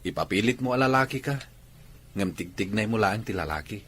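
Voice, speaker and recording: An actor with a Filipino Ilocano accent playing a candid, nagging friend in a radio drama. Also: male voice, on the low side, animated, brisk, a bit bright, slight background noise. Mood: teasing.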